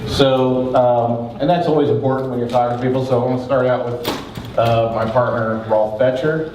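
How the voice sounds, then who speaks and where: A man giving a joking, energetic conference talk, talking fast with a big voice. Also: medium pitch, slight background noise. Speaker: conference presenter. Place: conference hall with audience. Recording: echoey hall from far off.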